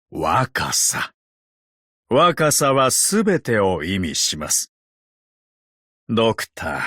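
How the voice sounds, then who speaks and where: A man voicing a courteous, ancient tin man agent in a video game, calm and sardonic, with a polite, measured, quietly teasing delivery. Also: medium pitch, no room sound, clean studio sound. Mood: calm, reflective. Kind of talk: Japanese video game character voice lines.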